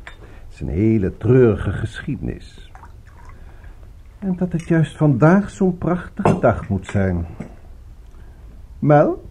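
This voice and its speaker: A man's voice: mid-range voice, big pitch swings, mellow, slight background noise. An actor playing a genteel, courteous but evasive male host in a radio drama, speaking politely and urbanely. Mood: regretful, evasive. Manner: polite, urbane, unhurried small talk.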